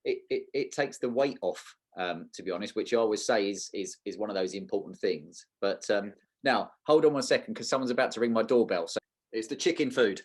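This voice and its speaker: A man's voice: fairly low pitch, fairly quick. A man hosting a podcast, putting questions in an informal, conversational and slightly rambling way. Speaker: podcast host, interviewer. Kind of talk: casual conversational interviewing.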